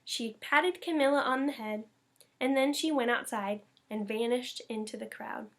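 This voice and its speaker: A woman reading a children's story aloud in an expressive, emphatic school read-aloud style. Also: on the high side, moderate pace. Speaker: elementary school staff member reading aloud.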